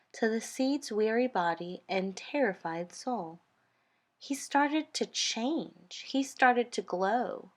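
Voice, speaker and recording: A woman reading a children's storybook aloud in an expressive, deliberate, rhythmic way. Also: a little high. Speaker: storytime reader.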